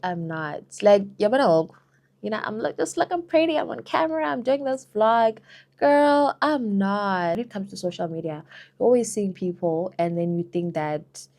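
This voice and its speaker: A woman's voice: mid-range voice, a little mellow. A young South African woman answering viewer questions in a casual, chatty vlog, often saying "girl" and "you know". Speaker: vlogger.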